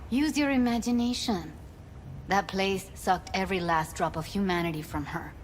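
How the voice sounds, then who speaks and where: A woman's voice: mid-range voice, normal speed, natural voice. An actress voicing a jaded, frank, protective young woman tech expert in a video game, with some noise behind her. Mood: bitter, subdued. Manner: frank, weary, informal.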